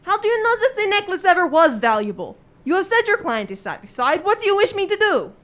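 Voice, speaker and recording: A woman playing a proud, courteous but dismissive French police inspector in a radio drama, with formal, deliberate politeness. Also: very high-pitched, big pitch swings, fairly bright tone, heavily acted. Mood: coolly dismissive.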